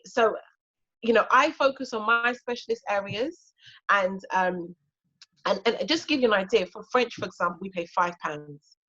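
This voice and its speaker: A woman's voice: medium pitch, a bit bright, dull audio. A woman in her thirties, a native Twi speaker from Ghana, talking casually and encouragingly as a homeschooling guest speaker, with frequent fillers. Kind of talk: casual talk on an online session.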